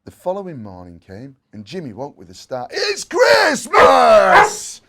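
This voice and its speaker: A man reading a children's story aloud in an expressive way, with playful asides. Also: fairly low pitch, a little fast. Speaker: story reader.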